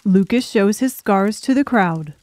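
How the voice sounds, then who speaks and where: Female audiobook narrator, mid-pitched, scripted dramatic storytelling, dry studio-close sound. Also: expressive, a little slow, a little mellow, dry recording.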